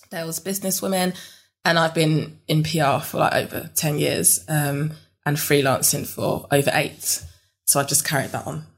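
A young woman with a London accent, a guest in a relaxed interview, talking with many ums and restarts. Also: deep voice. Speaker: interview guest, business network founder.

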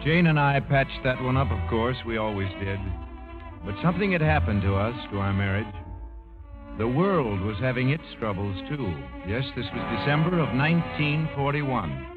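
An actor playing a wry, world-weary husband in a 1950s radio drama. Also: male voice, mid-range voice, animated, normal speed, lightly acted. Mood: reflective, rueful. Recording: muffled vintage radio broadcast, music behind.

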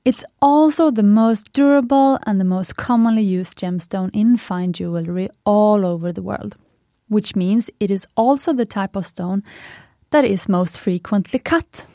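A woman's voice: mid-range voice, a little slow, mellow, no echo, studio quality. Chatty Swedish-native woman podcast host. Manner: chatty, enthusiastic, fond of puns. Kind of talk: scripted podcast monologue.

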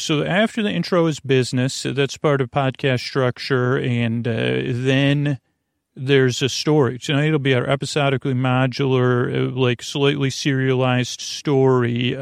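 A man hosting a sleep podcast, rambling informally through an introduction in a halting, meandering way, often repeating himself and trailing off mid-sentence. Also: mid-range voice, vocal fry, no echo, clean studio sound. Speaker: podcast host.

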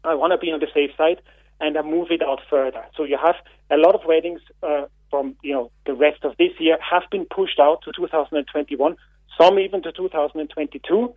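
A man with an Austrian accent speaks on a radio phone-in as a guest. He talks haltingly, with many fillers and repeated words, in a slightly high voice. The sound is dry and tinny, like a phone line. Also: fairly even intonation, dry recording. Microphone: telephone.

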